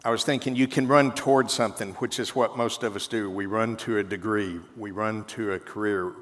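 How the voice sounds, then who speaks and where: A man's voice: lowish voice, a bit bright. A man hosting a conference, introducing the keynote in a reflective, conversational way as he builds his point with examples. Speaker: event host introducing the keynote. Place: conference stage in a large hall. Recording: long hall reverb.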